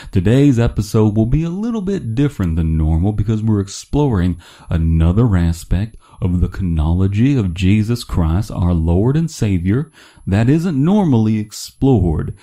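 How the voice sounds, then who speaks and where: A man's voice: lowish voice, warm tone. A man playing a pompous, earnest radio preacher delivering a solemn, sanctimonious sermon with formal wording.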